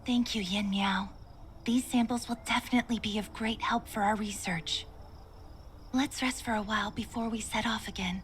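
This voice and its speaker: An actress playing a gentle, troubled woman researcher in a video game, with a soft, breathy, hesitant, thoughtful delivery. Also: medium pitch, fairly even intonation, moderate pace, slight background noise, close to an everyday voice. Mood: gentle, composed. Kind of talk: scripted video game dialogue.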